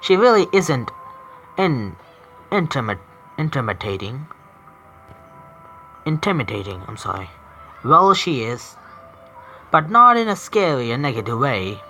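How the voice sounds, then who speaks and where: A man streaming a visual novel game, reading the narration aloud haltingly, stumbling over words and correcting himself. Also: medium pitch, sing-song, bright, forceful tone, faint music behind.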